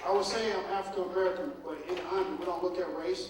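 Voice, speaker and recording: A man speaking as an army recruiting captain, earnest and emphatic as he answers students. His voice runs quick and fairly high. He is heard from far off in an echoey, noisy school auditorium. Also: fairly even intonation, a loud crowd.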